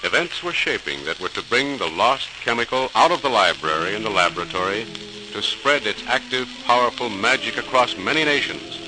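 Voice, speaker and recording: A man's voice: lowish voice, animated, a little fast. A man narrating a scripted story in a dramatic 1940s radio style. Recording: noisy crackling old radio recording.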